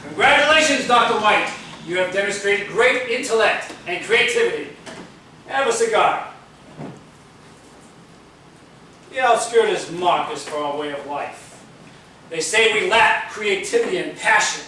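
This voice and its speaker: A ruthless, pompous leader played by a man on stage holds forth in grand, commanding tones. The voice is high and forceful, caught from afar in a heavily echoing space. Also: lively intonation, moderate pace. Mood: magnanimous. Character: pompous, ruthless male corporate leader plotting against rebels. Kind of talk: stage play acting. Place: theatre stage, picked up from a distance. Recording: echoey, noisy stage videotape from far off.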